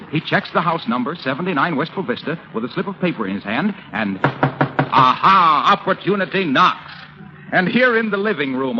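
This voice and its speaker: A man's voice: sits high, background noise. A man with an American accent as a polished 1930s radio announcer, reading a script in a declamatory way. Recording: dull 1930s radio recording with music.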